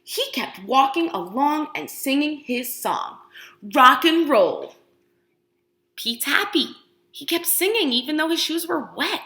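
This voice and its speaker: A woman preschool teacher reading aloud to young children, encouraging them with questions and pauses, using exaggerated, expressive emphasis. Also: high-pitched, creaky. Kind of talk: animated children's read-aloud and lesson. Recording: roomy indoor echo.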